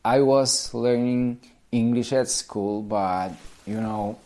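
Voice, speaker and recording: A man's voice: medium pitch, slow, mellow. A man in his forties from Poland with a Polish accent, speaking English as a second language, talking casually to camera as a language teacher, repeating himself with small grammar slips.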